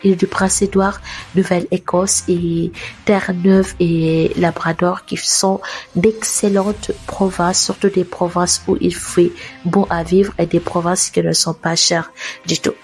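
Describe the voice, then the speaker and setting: A woman with a cold talks through an explainer for her channel's viewers in a slightly lower voice. She hesitates a lot, restarts phrases and corrects herself as she goes. Soft music plays faintly behind her. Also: level tone, measured pace. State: has a cold. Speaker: host of an immigration advice channel. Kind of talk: informal explainer talking to viewers.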